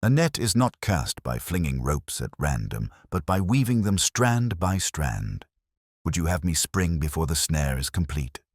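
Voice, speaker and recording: A man voicing a cool, precise, commanding detective in a measured, deliberate audiobook performance. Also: very deep voice, sing-song, husky, no room sound, studio quality, full character voice.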